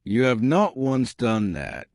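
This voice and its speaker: A man's voice: medium pitch, big pitch swings, takes it slowly, darkish tone, dry recording, studio quality. A man voicing an earnest, courteous army colonel in an audiobook, speaking formally and directly. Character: earnest, courteous army colonel, a plain-spoken gentleman suitor. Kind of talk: audiobook character dialogue.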